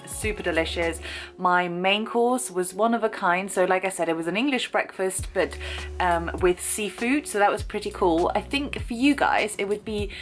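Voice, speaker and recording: Bubbly young German woman vlogging. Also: lowish voice. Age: young adult. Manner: bubbly, enthusiastic, chatty. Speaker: food vlogger. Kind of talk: upbeat vlog narration to camera. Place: bedroom, bus, street and restaurant. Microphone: handheld camera at arm's length. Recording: music playing underneath. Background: background music.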